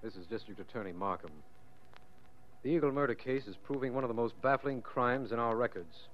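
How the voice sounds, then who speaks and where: A man playing a sober American district attorney, narrating a 1940s radio drama in a formal, measured manner. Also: mid-range voice, over loud music, lightly acted. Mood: grave. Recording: muffled, noisy 1940s radio recording.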